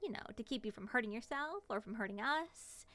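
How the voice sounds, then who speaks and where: Woman acting as giddy moth monster lady, high-pitched, very animated, teasing, flirty, predatory, ASMR roleplay. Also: a little fast. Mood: coaxing. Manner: teasing, sing-song endearments and excited exclamations.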